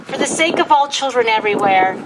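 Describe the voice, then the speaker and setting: A woman reads a prepared public speech at an outdoor gathering in a solemn, deliberate, formal manner. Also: a little high, expressive. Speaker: speaker at a commemorative gathering. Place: outdoors at a historic site. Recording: wind-buffeted outdoor event microphone, loud noise.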